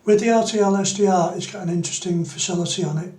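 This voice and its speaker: A man with a noticeably high-pitched voice presents an informal, unscripted tutorial. He explains each step as he demonstrates it on screen. The recording has a clear room echo around the voice. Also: fast talker, darkish tone.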